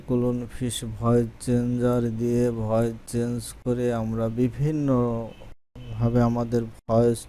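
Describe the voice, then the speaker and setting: A man gives an informal, halting, explanatory software tutorial over a screen recording. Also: medium pitch. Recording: echoey room, distant microphone at times.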